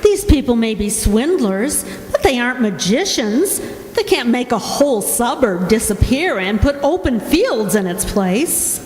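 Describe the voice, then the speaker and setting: Woman acting a high-voiced, animated young wife. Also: a little slow. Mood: skeptical. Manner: animated, questioning. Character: sharp, doubting young English wife on honeymoon. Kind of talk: stage radio mystery acting. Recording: echoey hall, faint noise.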